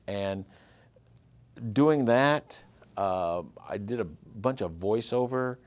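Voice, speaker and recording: A man's voice: on the low side, animated, takes it slowly, dry recording. A man in his fifties with a Michigan accent, telling his story easily as an interview guest. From Michigan, USA. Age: 50s. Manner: easygoing, storytelling. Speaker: guest, writer and producer. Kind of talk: casual interview chat.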